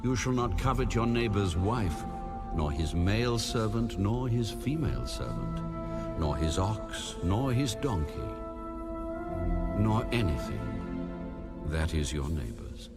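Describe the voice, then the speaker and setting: Loud music surrounds a low-pitched man reading scripture. He speaks very slowly and solemnly, with measured authority, like the narrator of a dramatized audio Bible. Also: sing-song.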